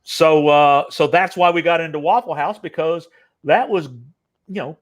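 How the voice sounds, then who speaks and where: A man's voice: on the high side, measured pace. An older man hosting a casual interview, talking in long rambling stretches full of fillers and restarts. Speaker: interview host.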